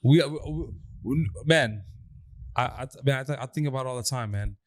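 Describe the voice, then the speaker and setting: A young man co-hosting a podcast, chatting casually and telling lively stories, often jumping into high mocking voices. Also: normal speed, no echo, clean studio sound. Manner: animated storyteller, swings into high mock voices. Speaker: podcast co-host. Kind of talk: casual chat.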